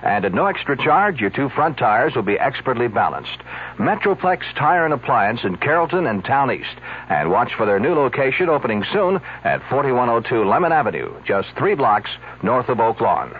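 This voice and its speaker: A man reading a local commercial announcement on the radio, husky voiced, on a tinny broadcast. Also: mid-range voice, sing-song, moderate pace, slight background noise.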